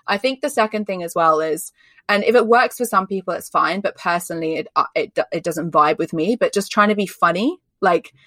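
A woman from Australia with an English accent answers interview questions in an emphatic, chatty way. Her voice sits a little low, and the recording is close and dry. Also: fairly quick, bright, forceful tone, dry recording. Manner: emphatic, chatty, many "like" fillers. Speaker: guest, personal branding expert. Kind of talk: candid conversational interview answers.

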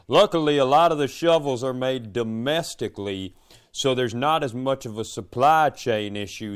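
A man's voice: medium pitch, clean tone. An American man chatting and telling funny stories casually as a podcast co-host. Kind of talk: casual comedic chat and storytelling.

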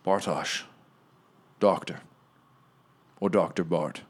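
Solemn injured starship captain, low-voiced and measured, played by a man. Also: slightly rough, full character voice. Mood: grateful. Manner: solemn, halting, quiet. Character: wounded proud male starship captain, grave.

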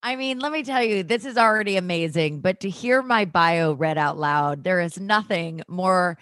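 Bubbly laughing woman, low voice. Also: moderate pace, a bit bright, no room sound, clean studio sound. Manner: bubbly, laughing, swinging pitch. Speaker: guest actress. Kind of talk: casual comedic podcast interview.

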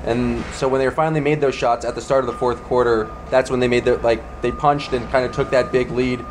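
A man's voice: mid-range voice, fairly even intonation, brisk. A man chatting informally about basketball as a podcast panelist. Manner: informal, conversational. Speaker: sports podcast co-host, panelist. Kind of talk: casual basketball post-game chat. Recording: faint background ambience noise.

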